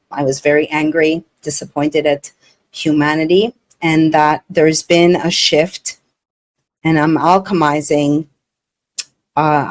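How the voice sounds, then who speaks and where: A woman from Montreal, whose first language is not English, hosts a casual interview. She speaks in an earnest, effusive way, with a voice a little lower than most women's. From Montreal, Canada. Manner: effusive, earnest, retells dialogue. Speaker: interview host.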